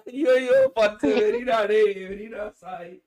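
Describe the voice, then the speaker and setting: A man hosting a casual gaming live stream, excitable and given to repeating himself. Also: high voice, unhurried.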